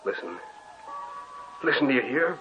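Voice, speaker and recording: An actor playing a sleepless, haunted husband, curt and agitated, in 1940s radio acting style. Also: male voice, mid-range voice, big pitch swings, normal speed, music in the background, natural voice. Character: haunted man, tense, paranoid, sleepless. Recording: tinny old radio recording.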